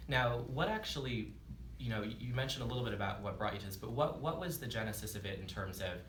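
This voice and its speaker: A man's voice: medium pitch, expressive, fairly bright tone, far from the mic. Man, interviewer, rambling questions, roomy sound, faint background noise. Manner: quick, rambling questions with many restarts. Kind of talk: casual interview.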